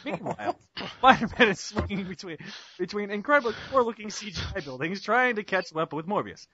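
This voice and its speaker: Animated, high-voiced man narrating. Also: brisk, husky. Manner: mock-dramatic. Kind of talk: comic dramatic script reading among friends. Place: Skype group call. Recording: lo-fi internet voice call.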